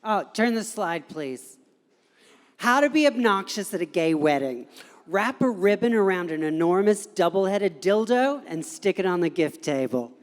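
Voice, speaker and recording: A man's voice: high voice, lively intonation, moderate pace. A man reading comic material aloud at a live event, passing through a shared microphone in an indoor venue. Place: indoor gallery event space. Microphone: shared handheld microphone. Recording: reverberant hall live recording.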